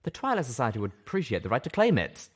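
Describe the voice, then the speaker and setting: A man voicing a grave, polite and learned unicorn stallion in an audiobook, measured and formal. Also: medium pitch, wildly swooping pitch, voice pushed up. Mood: scholarly, polite.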